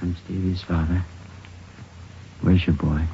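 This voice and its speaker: A heartbroken father in an old radio drama, speaking in a low, gravelly voice. He talks haltingly and plaintively, with a quiet determination. The recording is muffled, like an aged broadcast. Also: flat delivery, slight background noise. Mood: subdued, solemn. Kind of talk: 1950s radio drama acting.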